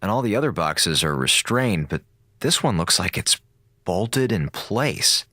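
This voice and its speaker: An actor playing a laid-back, wisecracking space station officer in a radio drama, with casual, sardonic delivery. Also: male voice, deep voice, sing-song, a little slow, bright, forceful tone, no echo, clean studio sound, heavily acted. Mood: musing, curious. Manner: casual, sardonic, muttering asides.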